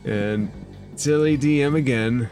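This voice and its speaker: Male game master, slow-paced relaxed narrator, wry asides. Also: medium pitch, faint music behind. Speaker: dungeon master.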